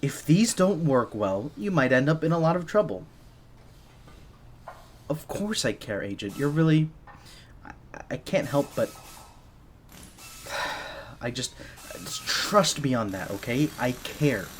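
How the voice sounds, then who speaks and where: A man's voice: medium pitch, big pitch swings, slow, long pauses, slight background noise. A man playing a shy, easily flustered gadget maker for a secret agent in an audio roleplay, speaking nervously and stammering. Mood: earnest, caring. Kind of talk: scripted ASMR roleplay, one side of a dialogue.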